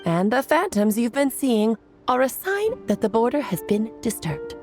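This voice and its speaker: A woman's voice: a little high, big pitch swings, normal speed, over music. A woman voicing a playful, eccentric, theatrical adult witch in a video game, with a whimsical manner. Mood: breezy explaining.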